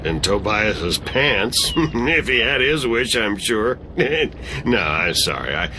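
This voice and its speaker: A man's voice: fairly low pitch, sing-song, slow pace, sharp tone, a little hiss or hum, full character voice. An actor playing a sardonic middle-aged exile who laughs at his own jokes and loves whiskey.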